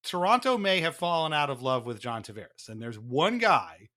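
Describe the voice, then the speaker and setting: A man hosting a podcast, talking casually about hockey in a rambling, animated, conversational way. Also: medium pitch, normal speed. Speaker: podcast host.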